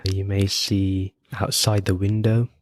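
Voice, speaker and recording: A man leading a guided meditation as host, giving gentle, unhurried instructions with frequent pauses. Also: deep voice, flat delivery, no echo. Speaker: podcast host guiding meditation.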